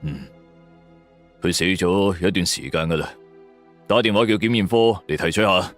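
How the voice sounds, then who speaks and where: A man's voice: low voice, moderate pace, fairly bright tone, soft background music, pitched below the performer's own voice. A man voicing a veteran police detective, terse, businesslike and slightly anxious, in an audio story. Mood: terse, assessing.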